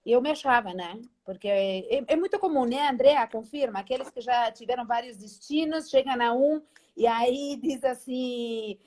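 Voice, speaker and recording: Spanish woman telling lively stories. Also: a little high, moderate pace. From Spain. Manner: animated, acts out past dialogues, frequent "né?" tags. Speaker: guest. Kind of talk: casual storytelling interview.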